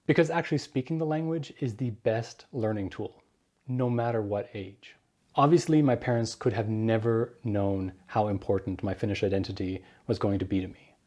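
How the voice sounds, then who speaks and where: A young man in his twenties with a warm, mellow voice, talking straight to the camera. He explains things earnestly and hands out direct, practical advice in a personal vlog. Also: lowish voice, moderate pace. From Germany. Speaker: vlogger.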